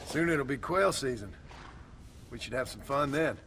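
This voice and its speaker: A man's voice: medium pitch, animated, normal speed, fairly bright tone, a little hiss or hum. An actor playing a plainspoken, tough frontier rancher and father with an American Western accent in a video game. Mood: fond, wry. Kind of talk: video game cutscene acting.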